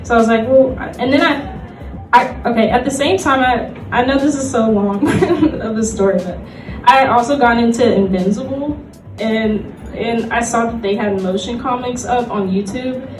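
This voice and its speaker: A woman's voice: fairly high pitch, normal speed. A young woman talking casually as a convention panelist, in a chatty, rambling way. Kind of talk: casual informal panel talk. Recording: echoey room, distant mic, faint music.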